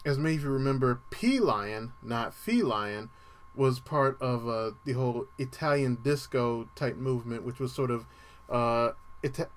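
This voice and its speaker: Guy w a dry voice hosting a music show, casual and rambly, lots of ums, clears his throat a bunch. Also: medium pitch. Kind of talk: casual radio-style show talk.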